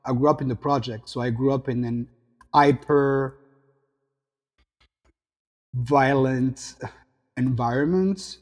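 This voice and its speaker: A man's voice: medium pitch, slow pace, long pauses, long reverb tail. French man reflecting on a video call. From south of France. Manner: reflective, gesturing hands while talking. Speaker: guest. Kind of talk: interview conversation. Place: home room.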